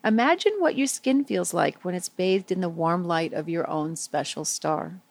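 A woman leading a guided visualization for young children, speaking in simple, soothing phrases with gentle pauses. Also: lowish voice, gravelly, dry recording.

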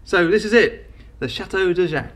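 Lively, teasing British mate. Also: male voice, sits high, a little fast. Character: teasing, chirpy best mate, mock-encouraging. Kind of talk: comedic audio drama acting.

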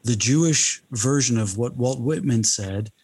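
A man hosting a podcast, reading an announcement and asking questions in a measured, lightly joking way. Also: mid-range voice, a little mellow, husky. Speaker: podcast host.

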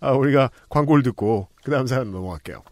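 A man's voice: mid-range voice, fast talker, darkish tone, dry recording. A man co-hosting a casual talk podcast, joking and rambling with chuckles between lines. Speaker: podcast co-host. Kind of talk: casual chat.

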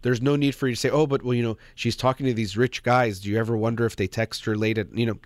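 A man hosts a call-in advice show and gives guidance in a calm, measured voice. The sound is close and dry, with no room around it. His pitch sits in the middle of the usual range for a man. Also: dry recording. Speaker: radio host.

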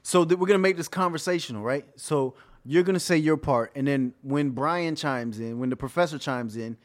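A man's voice: a little high, a little fast. A young man chatting casually, eager and playful, setting up a game among friends. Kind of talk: casual chat.

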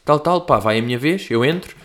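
A man's voice: on the high side, expressive, a little fast, a bit bright. A young man from Portugal talks in a loose, rambling way, full of slang and asides. His European Portuguese accent is plain, and he pokes fun at himself as he answers listener questions like a comedian hosting his own show. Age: young adult. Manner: rambling, slangy, self-mocking. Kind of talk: casual monologue.

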